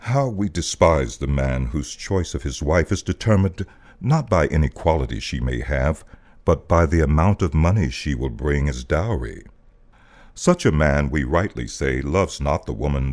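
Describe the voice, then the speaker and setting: A man narrating a spiritual text as a poetic reading, solemn and deliberate, with emphatic phrasing. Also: rumbling low, a little mellow. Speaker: voice-over artist, narrator.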